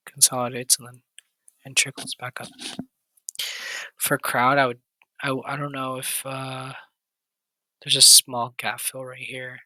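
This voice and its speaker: A man with a raspy, hoarse voice, going over stock charts in a quick, casual walkthrough with fillers and restarts. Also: mid-range voice, sharp tone, no room sound, rough recording. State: hoarse, recovering voice. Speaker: stock trading channel host.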